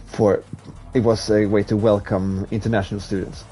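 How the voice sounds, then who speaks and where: A man's voice: fairly low pitch, normal speed, a little hiss or hum. A young man from Sweden with a Swedish accent, chatting casually with viewers as a streamer while playing a racing game. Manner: relaxed, rambling, with restarts while playing. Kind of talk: casual chat while gaming live.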